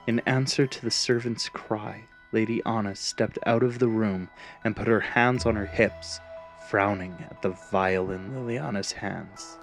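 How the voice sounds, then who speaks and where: A man's voice: lowish voice, steady tone, a little slow, faint music behind. A man narrating a scripted fantasy story in a dramatic storytelling style.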